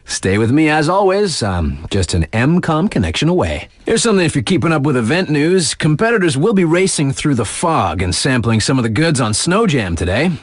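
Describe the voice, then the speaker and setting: An actor playing a hip, easygoing radio DJ in a video game, giving casual mountain event updates with wry, colloquial asides. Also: male voice, a little high, very animated, fairly quick, hardly any pauses, no room sound, studio quality. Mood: hyped, casual. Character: laid-back hip radio DJ for a snowboard mountain.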